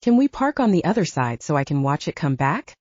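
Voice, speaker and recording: A woman's voice: deep voice, sing-song, fairly quick, a little mellow, no room sound, lo-fi sound. A woman narrator voicing a nervous, curious, wide-eyed young woman in an audiobook. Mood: excited.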